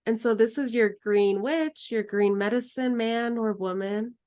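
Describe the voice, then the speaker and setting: A woman teaching an herbalism class in a relaxed, chatty way, then leading a guided meditation. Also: fairly high pitch, measured pace, smooth. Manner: relaxed, chatty, laughs easily.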